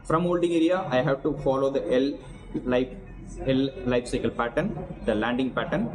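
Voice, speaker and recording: A man talking straight to his viewers in an earnest vlog debrief. He walks through events one step at a time in a medium-pitched, even voice. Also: moderate pace, rough recording. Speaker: vlogger. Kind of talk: casual personal debrief to camera.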